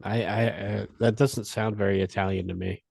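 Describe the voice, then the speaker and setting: Gravelly low-voiced male podcast host. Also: fairly even intonation, darkish tone, dry recording, clean studio sound. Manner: rambling, halting, restarts often. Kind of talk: casual podcast chat.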